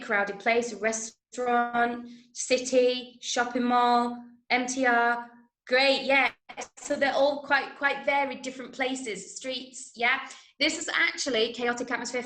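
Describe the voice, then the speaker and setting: A woman with an English accent leading an interactive online drama lesson in an encouraging way, full of praise and quick checks that everyone follows. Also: a little high, a bit bright. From Manchester, England. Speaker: drama workshop teacher. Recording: roomy, echoing online class audio.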